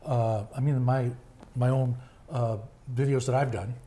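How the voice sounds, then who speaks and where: An older man with a hoarse voice, talking slowly with many fillers in a casual family interview. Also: mid-range voice, steady tone, dark tone. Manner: slow, halting, many fillers. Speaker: grandfather being interviewed.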